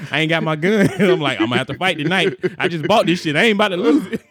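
A man's voice: a little high, fast, breathy edge, no room sound. A young man co-hosting a podcast, telling stories in a loose, laughing way and acting out the people he quotes. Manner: laughs often, animated storytelling with quoted voices. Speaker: podcast co-host. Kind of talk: casual storytelling chat.

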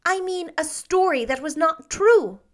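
A woman voicing a gentle young schoolmistress in a storybook, speaking with grave, earnest reproach. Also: extremely high pitch, expressive, a little slow, pitched above the performer's own voice. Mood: sorrowful reproach. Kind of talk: storybook character voice.

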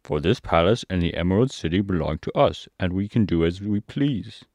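A man's voice: low voice, expressive, fairly quick, a little mellow, dry recording. A man voicing a self-satisfied, practical scarecrow ruler in a storybook reading.

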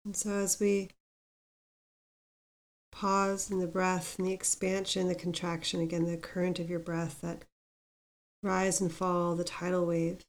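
A woman guiding a meditation as host, speaking gently and without hurry, leaving pauses between instructions. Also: lowish voice, level tone. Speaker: meditation guide, podcast host. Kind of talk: guided meditation with mantra chanting.

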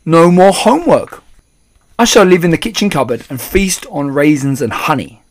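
A man's voice: a little high, sing-song, normal speed, a crowd in the background, pitched above the performer's own voice. A man voicing a cheeky, carefree little boy who has become a mouse in a storybook. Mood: gleeful. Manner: carefree, chirpy, boyish.

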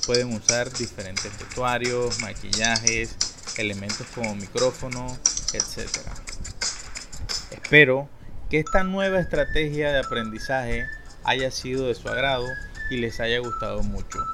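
A male teacher giving students clear, step-by-step homework instructions. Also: mid-range voice, measured pace, very noisy. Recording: voice over loud background music.

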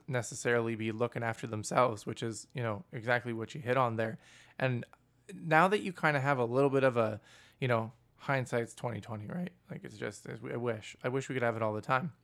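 Booming-voiced male podcast host. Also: fairly even intonation, fairly quick. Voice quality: booming voice, loud laugh. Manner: rambling, searching questions with many fillers. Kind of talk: casual interview talk.

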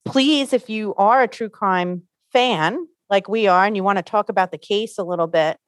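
A woman's voice: mid-range voice, normal speed, no echo. A woman librarian co-hosting a podcast, easygoing and chatty in casual talk. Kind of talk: casual chat. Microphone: studio microphone.

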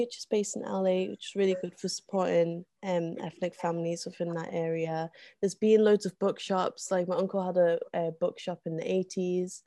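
A woman, a guest on a casual podcast, talking with many ums and likes and getting animated in bursts. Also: mid-range voice, dry recording. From North West England. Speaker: interview guest, zine co-founder.

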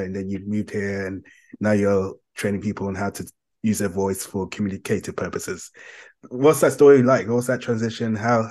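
A man's voice: lowish voice, a little mellow. A man hosting a podcast interview, asking long, rambling questions with fillers. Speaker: podcast host.

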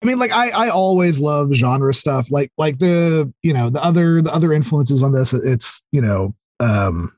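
Man, thirties, husky voice, dry close sound, filler-heavy, enthusiastic podcast guest. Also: on the high side, animated, moderate pace, few pauses, a little mellow, no echo. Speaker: podcast guest, audio drama creator. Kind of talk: casual interview chat.

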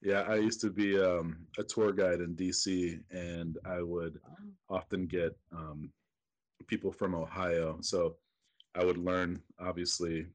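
Casual young guy from Maryland, deep voice, easygoing with fillers. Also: flat delivery, takes it slowly. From Maryland, USA. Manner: casual and friendly, with frequent um fillers. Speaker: guest in a recorded first-meeting conversation.

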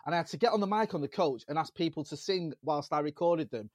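A man with a Manchester accent tells football stories at a quick, tumbling pace. His voice sits a little high and grows animated as he recounts matches and acts out the fans he met. The recording is dry and close, with almost no room sound. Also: no room sound. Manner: animated storyteller, jumps into mimicry of fans. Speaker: podcast panelist, former local radio reporter. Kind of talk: casual football podcast chat.

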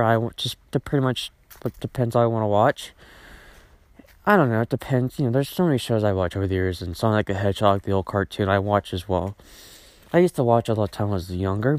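Rambling teenage guy, smooth dry voice. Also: medium pitch, no echo. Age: teens. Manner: rambling with many fillers and restarts, casual swearing. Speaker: podcast host. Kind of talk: rambling solo podcast monologue.

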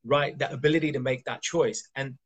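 A man's voice: medium pitch, steady tone, brisk, slightly rough, highs cut off. A man from Kenya, a coach hosting a podcast, who talks emphatically and keeps asking rhetorical questions. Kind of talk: casual interview chat.

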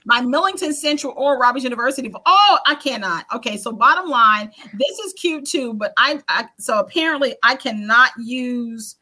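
A woman's voice: a little high, expressive, moderate pace, hardly any pauses, bright, forceful tone. A middle-aged woman from Washington, D.C., co-hosting a casual morning podcast chat, laughing and exclaiming often. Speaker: podcast co-host.

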